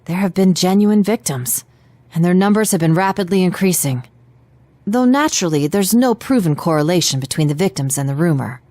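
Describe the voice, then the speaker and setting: Woman as a formal young detective, lively intonation. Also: lowish voice, brisk, no room sound, close to an everyday voice. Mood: analytical. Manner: polite, precise, formal diction. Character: composed, formal teenage detective, analytical and earnest. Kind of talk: video game voice acting.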